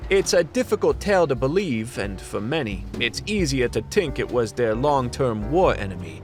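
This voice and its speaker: An actor playing a world-weary fantasy detective in a noir radio play, brooding and dramatic. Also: male voice, medium pitch, very animated, normal speed, over music, natural voice. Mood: resigned, wry. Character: hard-boiled fantasy detective, world-weary, knowing, dealer with demons.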